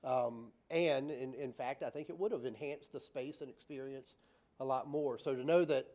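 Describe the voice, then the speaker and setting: Brief: male designer on a panel, mid-pitched, chatty explanations, frequent fillers and tag questions. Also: steady tone, normal speed, darkish tone. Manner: many fillers and restarts, tag "right?"; explains with acted-out examples.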